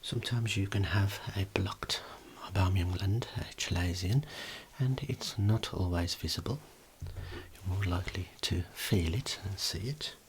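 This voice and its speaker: A person performing an ASMR medical examination roleplay as a dermatologist, speaking in a soft, breathy whisper with a gentle, reassuring clinical manner. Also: low-pitched, a little slow, a little hiss or hum.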